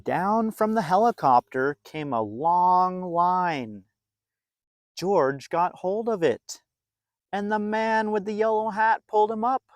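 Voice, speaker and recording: A children's storyteller with a very slow, deliberate delivery and a close, dry sound. The reading is expressive and animated, with dramatic pauses, pitched as a read-aloud for young listeners. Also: no room sound.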